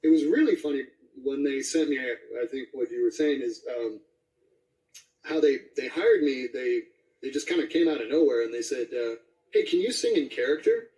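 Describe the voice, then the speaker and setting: A man chats casually as an interview guest, rambling and joking through his stories. His pitch is average, and the recording is roomy, with him slightly away from the mic. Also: moderate pace, dark tone, recorded from a distance. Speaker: voice actor being interviewed.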